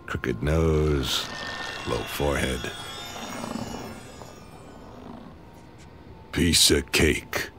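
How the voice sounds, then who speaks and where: Vengeful radio drama heavy: low voice, sardonic, hard-boiled menace, short mocking lines. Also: male voice, fairly even intonation, slow pace, over music, exaggerated voice. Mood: cool, terse. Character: menacing, sardonic male tough guy out for revenge.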